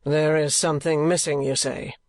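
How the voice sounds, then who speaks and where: A man's voice: fairly high pitch, flat delivery, moderate pace, no echo, lightly acted. A man voicing a stern, cold male usurer with a harsh, commanding manner.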